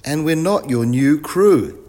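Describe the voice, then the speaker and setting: A man voicing a lively, anxious schoolchild in a storybook adventure, calling out earnestly. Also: a little high, slow, in a large hall, slight background noise, pitched above the performer's own voice. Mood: earnest, protesting.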